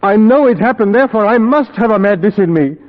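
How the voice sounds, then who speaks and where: Casting: tormented, theatrical nervous man, high voice, muffled vintage radio drama. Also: expressive, fairly quick. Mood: anguished. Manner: overwrought, theatrical. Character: tormented, high-strung man fearing his own madness. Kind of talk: 1940s radio acting. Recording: noisy, muffled old radio recording.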